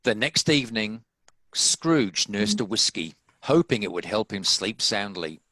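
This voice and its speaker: Male storyteller, lowish voice with a forceful bright edge, dry sound, scripted narration. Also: lively intonation, a little slow, no echo, rough recording.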